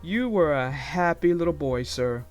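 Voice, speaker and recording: A genial old steam engine played by a woman, kindly and unhurried. He draws out his words in a low storybook voice. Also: lively intonation, a little mellow, soft background music. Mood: fond, nostalgic.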